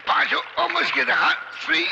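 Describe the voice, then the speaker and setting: A man's voice: very high voice, sing-song, normal speed, vocal fry, exaggerated voice. A man playing a big, timid, comic Mexican sidekick in an old radio western, speaking broken English and echoing his partner's words. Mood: flustered, struggling. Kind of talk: 1940s-50s radio western acting. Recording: noisy old radio recording, long hollow reverb.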